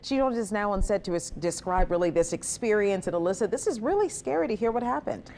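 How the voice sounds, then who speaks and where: A woman's voice: medium pitch, animated, fast, darkish tone. A woman anchoring a TV newscast and talking with a reporter in the studio. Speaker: news anchor. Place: TV news studio.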